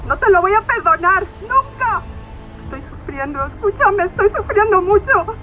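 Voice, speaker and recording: A woman's voice: very high-pitched, lively intonation, normal speed. An actress playing a furious, distraught kidnapped mother, near tears on a phone call in an audio drama. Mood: anguished rage. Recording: dull lo-fi phone sound, music behind.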